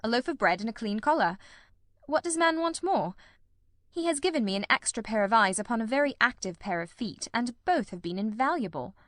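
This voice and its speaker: A woman acts out a lean, keen gentleman detective in an audiobook. The voice is high for the part and recorded very dry. The delivery is precise, composed and politely teasing, with an incisive, ironical edge. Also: animated, brisk, no room sound, close to an everyday voice. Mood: calm, reassuring. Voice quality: cold, incisive. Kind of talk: audiobook character dialogue.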